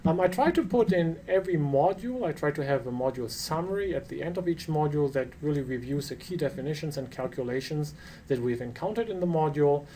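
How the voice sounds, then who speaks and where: A man's voice: fairly high pitch, a little mellow, a little hiss or hum. A middle-aged man from Germany with a German accent, a professor giving an earnest online course lecture with frequent fillers and restarts.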